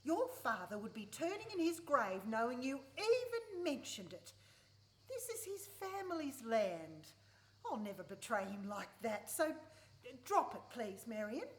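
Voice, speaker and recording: Radio drama widow played by a woman: older farm mother, stubborn, lonely, wry, very animated pitch, echoey room. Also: unhurried. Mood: firm, stubborn. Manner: wry, reproachful, resistant. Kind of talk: live radio play acting. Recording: echoey live theatre recording.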